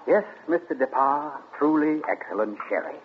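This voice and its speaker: An actor playing a suave, gloating male amateur sleuth in 1940s radio acting, theatrical and deliberate. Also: a little high, lively intonation, dull audio, loud music behind the voice. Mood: smug. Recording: scratchy 1940s radio broadcast.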